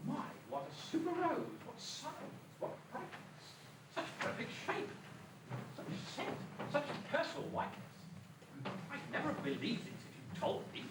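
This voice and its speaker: Man playing a high-pitched bumbling pantomime father, echoey. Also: normal speed, creaky. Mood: smug, tempted. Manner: exclamatory, comic, theatrical asides. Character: bumbling, cheeky father lost in a fairy-tale land. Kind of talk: amateur pantomime stage acting in rhyming verse. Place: school hall stage. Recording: echoey distant stage recording, loud hiss.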